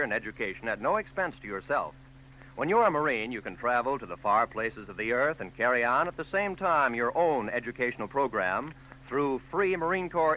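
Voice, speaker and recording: Bright crisp 1940s radio announcer man. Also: medium pitch, low-quality recording. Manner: crisp, formal announcer delivery. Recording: old radio broadcast with faint music.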